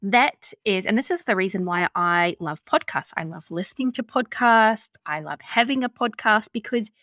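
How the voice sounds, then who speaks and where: A woman with a New Zealand accent hosting a podcast, chatting and asking questions in a relaxed, agreeable way with many fillers. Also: mid-range voice, moderate pace, no echo, clean studio sound. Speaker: podcast host. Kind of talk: casual interview chat.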